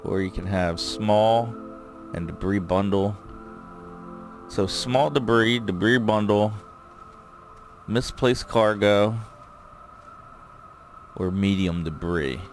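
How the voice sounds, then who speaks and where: A man giving casual let's-play commentary, thinking aloud and rambling with fillers as he goes, his voice going a bit hoarse. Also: on the low side, takes it slowly, pauses often, music in the background. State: losing his voice. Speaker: gameplay commentator.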